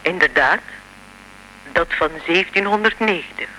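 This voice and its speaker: A very bright, sharp-voiced old lady in a radio play. She is formal, composed and knowing, measured in all she says. A faint hiss lies under the recording. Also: fairly low pitch, rough recording. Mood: composed, revealing. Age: older.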